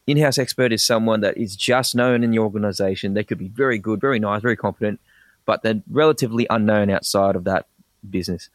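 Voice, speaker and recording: A man with an Australian accent, a podcast guest explaining ideas in quick, enthusiastic bursts with frequent restarts. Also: lowish voice, no room sound. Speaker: guest, personal branding expert. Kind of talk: casual podcast interview.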